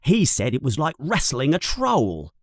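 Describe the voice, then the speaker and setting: A man's voice: a little high, wildly swooping pitch, a little slow, a little mellow, dry recording, clean studio sound, voice pushed up, heavily acted. A man voicing a nervous young schoolboy who grumbles and frets. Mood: fretful. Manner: fretful, complaining. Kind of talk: storybook reading.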